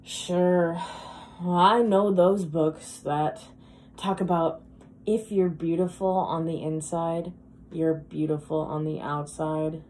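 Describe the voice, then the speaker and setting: A woman performing a dramatic monologue as a wistful, self-deprecating woman who longs to be beautiful, speaking in a halting, confessional, emphatic way. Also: sits low, steady tone, darkish tone, a little hiss or hum. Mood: earnest, frustrated.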